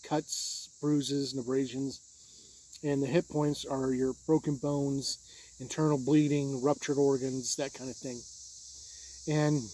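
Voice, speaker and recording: Husky, mellow man reviewing tabletop games. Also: a little high, rough recording. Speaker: host of a tabletop game review channel. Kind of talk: conversational video review, explaining rules.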